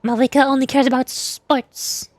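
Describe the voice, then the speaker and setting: A woman's voice: high-pitched, level tone, moderate pace, darkish tone, no echo. A woman streamer giving casual, bemused game commentary, musing aloud and stretching words for emphasis. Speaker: VTuber streamer and amateur voice actor. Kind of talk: casual game commentary mixed with character voicing.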